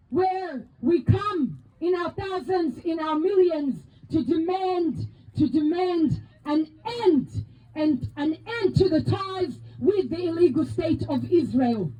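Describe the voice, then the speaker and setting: A woman from Palestine, a native Arabic speaker, giving a loud, emphatic, impassioned speech as co-chair at an outdoor protest rally. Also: extremely high pitch, rough recording. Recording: outdoor rally, crowd and faint noise.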